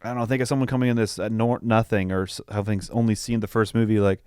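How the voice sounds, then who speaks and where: A man co-hosting a podcast, chatting casually and thinking aloud in loose, halting sentences. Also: fairly low pitch, flat delivery, a little mellow, clear, resonant tone, dry recording, studio quality. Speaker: podcast co-host. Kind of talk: casual chat, film review.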